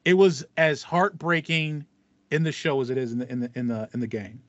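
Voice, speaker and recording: A man's voice: mid-range voice, fairly even intonation, brisk, no echo. American man chatting casually, slightly dull dry sound. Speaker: podcast co-host. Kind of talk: casual chat about video games. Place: small home room with foam wall panels. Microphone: headset, video call.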